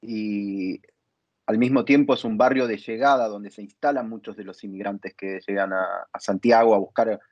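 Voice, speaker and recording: A man speaking as an interviewer in a conversational panel, phrasing his thoughts in a halting, reflective way. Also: lowish voice, steady tone, darkish tone, dry recording. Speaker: interviewer and theatre dramaturg. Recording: dull lo-fi sound.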